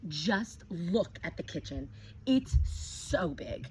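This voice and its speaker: A woman teacher reading a picture book aloud to children, gently stopping to ask them questions. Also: on the low side, sing-song, slow pace. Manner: gentle, asks children questions between pages. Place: outdoors with her dogs. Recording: dull lo-fi recording with clear background noise.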